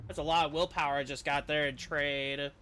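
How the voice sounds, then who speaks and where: A man's voice: fairly high pitch, flat delivery, brisk, sharp tone, smooth. A man streaming a game, reading its story aloud with dramatic narration and casual commentary.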